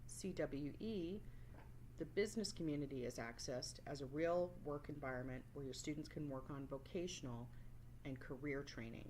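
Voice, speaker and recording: A woman's voice: deep voice, normal speed, darkish tone, slight background noise, poor audio. A woman teacher giving a scripted, explanatory training presentation as a voice-over. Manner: instructional, explanatory. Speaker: work-based learning resource teacher.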